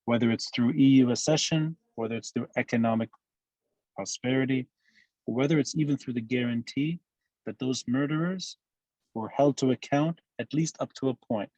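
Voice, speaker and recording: A man from Lebanon talks through a podcast interview in a measured, emphatic way. His voice sits at a middle pitch, and it is recorded dry and close with no room sound. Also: darkish tone, no room sound, poor audio. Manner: measured, emphatic analytical speech. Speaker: guest commentator.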